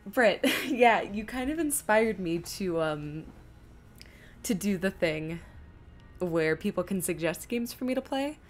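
A woman's voice: mid-range voice, expressive, takes it slowly. A woman streaming a video game, chatting informally and rambling to viewers as she plays. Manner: informal, rambling, muttering to herself while playing. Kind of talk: casual live gaming commentary, chatting to viewers. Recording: game music behind the voice. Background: video game music and sound.

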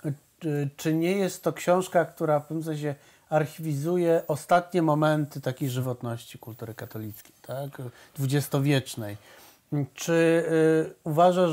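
A man's voice: a little high, a little mellow. A middle-aged man interviewing a guest in a TV studio, asking long, winding questions with many fillers.

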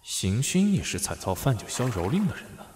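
Man acting anguished young prince-impostor, wildly swinging pitch. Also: very animated, a little fast, darkish tone, natural voice. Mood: bitter brooding. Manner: stammering, emotional, self-doubting. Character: anguished young commoner reborn in a tyrant prince's body. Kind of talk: Chinese costume audio drama acting. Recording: echoey hall reverb.

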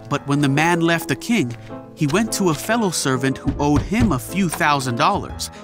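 A man reading the scripted narration of a children's Bible cartoon, telling the story in an even, clear way. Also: on the high side, normal speed, music in the background.

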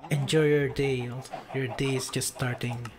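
Slow-talking Filipino-accented young man. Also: mid-range voice, noisy background. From Philippines. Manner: relaxed, chatty, giggly, reads and answers chat. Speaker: VTuber streamer. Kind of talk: casual live-stream chat with viewers.

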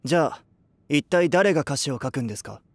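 A man's voice: fairly high pitch, expressive, a little fast, no echo. A male actor playing a cool young band musician in an anime voice drama. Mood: questioning.

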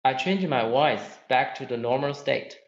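A man's voice: medium pitch, measured pace. A man reading a scripted demo as an in-game teammate, his voice processed with added reverb. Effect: added reverb and 3D positioning. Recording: echoey lo-fi game voice chat.

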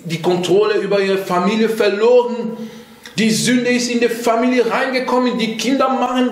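Urgent high-voiced preacher, echoey room. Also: male voice. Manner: urgent, repetitive exhortation with rising pleas. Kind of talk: sermon.

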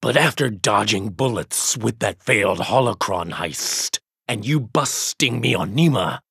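A man playing a gruff, cunning, theatrical reptilian alien bounty hunter with a raspy, gravelly voice in a video game. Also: lowish voice, animated, unhurried, a bit bright, dry recording, studio quality, heavily acted. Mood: sly, chatty. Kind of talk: video game character acting.

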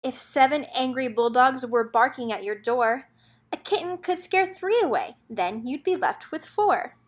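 A woman with a high-pitched voice reads a children's picture book aloud. She narrates expressively, following the rhythm of rhyming verse. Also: expressive, unhurried.

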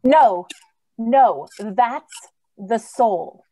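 A woman's voice: on the high side, animated, very slow, dark tone, heavily acted. A woman acting an earnest, idealistic young lady crusader in an old-time radio drama. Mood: troubled, questioning.

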